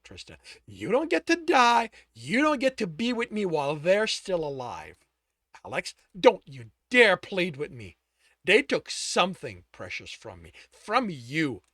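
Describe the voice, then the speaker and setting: A desperate young man who forces out harsh, loud commands, his voice rising high and leaping all over the place, as a male reader acts him out. The sound is close and completely dry, with no room around it. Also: dry recording, full character voice. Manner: loud, clipped, forceful delivery.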